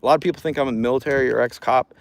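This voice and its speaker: A man's voice: mid-range voice, steady tone, racing, vocal fry. A man streaming live from outdoors by a river, chatting in a laid-back, reassuring way. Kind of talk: casual street chat. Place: outdoors by a river walk path.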